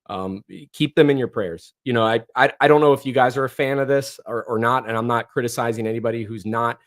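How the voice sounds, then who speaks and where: A man with a hoarse, worn voice chats casually on a livestream and reads viewer comments aloud. He rambles, restarts his sentences and fills the gaps with ums and uhs. He grew up in northeastern Ohio, and his voice sits at a middle pitch. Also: fast. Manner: rambling, many fillers and restarts. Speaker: livestream host.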